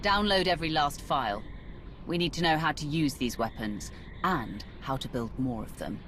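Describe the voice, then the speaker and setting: An actress playing a ruthless, sardonic, battle-hardened warrior commander in a video game cutscene, with a dry, menacing delivery. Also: female voice, deep voice, animated, normal speed, background noise. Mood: commanding.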